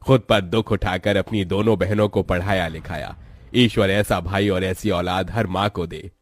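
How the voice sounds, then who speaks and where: A man's voice: low-pitched, steady tone, moderate pace, dry recording, studio quality. A man playing a kindly, fatherly older grain merchant and employer in a moral-story cartoon. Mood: fatherly, approving.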